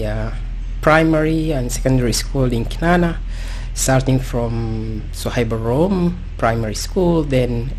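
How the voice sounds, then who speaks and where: A man with a Sudanese accent speaks slowly in a medium-pitched voice, searching for words as he goes. He sounds like a guest expert explaining things without a script, hesitant and halting, over some light background noise. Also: darkish tone, low-quality recording. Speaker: guest astrophysicist. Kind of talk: unscripted self-introduction, explanatory talk.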